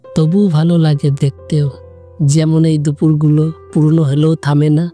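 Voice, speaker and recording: A tender young man full of longing, played by an actor in a romantic audio drama. His voice is dark and mellow, gentle and hesitant, with music playing behind it. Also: on the high side, flat delivery, moderate pace, lightly acted. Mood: gently curious. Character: tender, longing young man, nameless coffee-shop companion.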